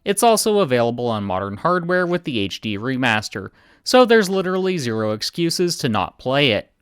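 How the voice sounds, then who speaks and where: A man giving scripted video game commentary in a deadpan voice. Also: mid-range voice, normal speed, clear, resonant tone, no echo, clean studio sound.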